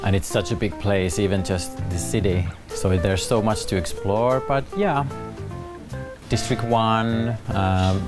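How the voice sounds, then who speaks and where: A man in his thirties with a Finnish accent, answering casually and expressively as an interview guest. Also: lowish voice. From Finland. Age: 30s. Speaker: talk show guest. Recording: guitar music under the talk.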